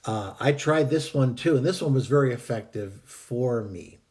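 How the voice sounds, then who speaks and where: Calm man reading meditation steps. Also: medium pitch. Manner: calm, measured, with hesitant fillers. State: fighting a cold, voice going. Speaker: host. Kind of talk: reading and explaining a technique.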